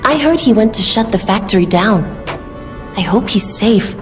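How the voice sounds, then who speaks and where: A woman's voice: mid-range voice, expressive, moderate pace, lightly acted. An actress playing a kindly, practical young craftswoman in a video game, speaking in an earnest, conversational way. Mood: concerned, earnest. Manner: earnest, conversational, matter-of-fact. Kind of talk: video game dialogue acting. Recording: long reverb under clear music.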